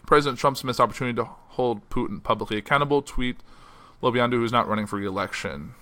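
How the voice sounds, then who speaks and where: A man hosting a solo news podcast. He reads news quotes aloud and chats loosely between them, and his voice is going hoarse from a stressful week. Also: mid-range voice, fast talker. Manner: reads quotes in a flat newsy voice, then chats loosely with fillers. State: losing his voice. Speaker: podcast host. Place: house.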